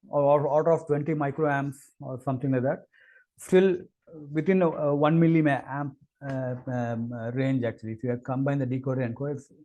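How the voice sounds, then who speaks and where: A man narrating an unscripted technical demo as an engineer, with halting, hesitant phrasing and repeated words. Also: a little high, warm tone, poor audio. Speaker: engineer presenting a product demo.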